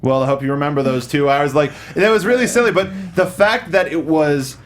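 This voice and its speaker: A man's voice: on the high side, fairly quick. A man hosting a casual review panel, restating phrases and joking as he goes. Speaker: panel show host steering segments. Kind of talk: casual film review chat.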